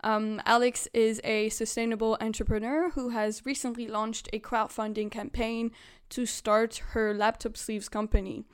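A woman's voice: a little high, level tone, moderate pace, clear, resonant tone. A woman hosting a podcast and asking questions in a conversational interview style.

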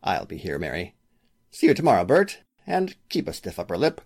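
An actor playing a grave, reassuring lawyer and loyal friend in a 1940s-style radio drama. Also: male voice, low voice, wildly swooping pitch, brisk, a little mellow, heavily acted.

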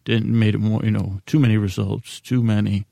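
Guy doing a rambly sleep podcast, gravelly, kinda mumbly and halting, super dry sound, pokes fun at himself. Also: lowish voice, little pitch movement, warm tone, no echo. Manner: meandering, halting, mumbling, self-deprecating. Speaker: podcast host.

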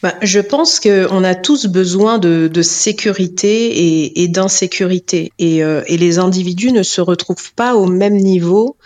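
A woman's voice: lowish voice, a little slow, few pauses, a little mellow. A woman speaking as a guest in a conversational panel discussion, in a hesitant, reflective way.

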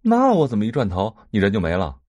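Young male sidekick, audio drama: lively swings in pitch, eager and gossipy, exclamatory, close dry recording. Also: fast talker, no room sound. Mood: puzzled, casual. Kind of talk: Chinese audio drama acting.

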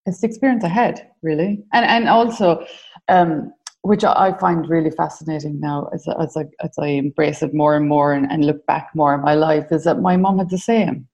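Irish woman in her 40s, low voice, bit of fry, lots of ums and restarts. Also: fairly even intonation, normal speed, a little mellow, muffled recording. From Ireland. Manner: hesitant, many ums and restarts. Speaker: podcast guest. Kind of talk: casual interview answers.

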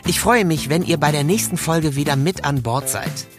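A man's voice: fairly high pitch, normal speed, music in the background. A man presenting a podcast in a scripted, direct-address style. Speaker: podcast host.

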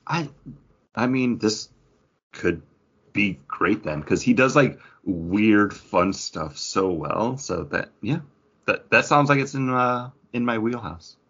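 A man's voice: lowish voice, animated, slow, fairly bright tone, slightly rough, muffled recording. A man talking casually as co-host of a comic book podcast. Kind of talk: casual chat.

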